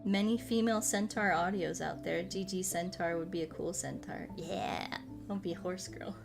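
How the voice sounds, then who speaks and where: A woman's voice: on the low side, fairly even intonation, normal speed, gravelly. A woman livestreamer chatting casually and playfully with viewers, rambling along and often repeating short phrases. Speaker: VTuber streamer chatting with viewers. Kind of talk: casual livestream chat over a game. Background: music.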